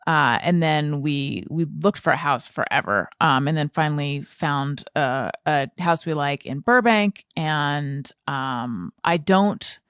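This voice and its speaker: A woman's voice: sits low, slow, few pauses, fairly bright tone, smooth, no room sound, studio quality. An American woman in her forties chatting casually as a podcast host, often stopping to correct herself and think aloud. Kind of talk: casual conversational interview chat.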